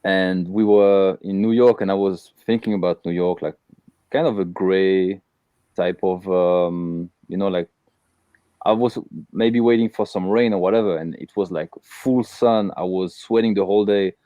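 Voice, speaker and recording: Low-voiced Belgian man, hesitant. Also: normal speed, mellow, no echo, highs cut off. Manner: hesitant, many fillers and restarts. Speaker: host. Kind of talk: casual live interview chat.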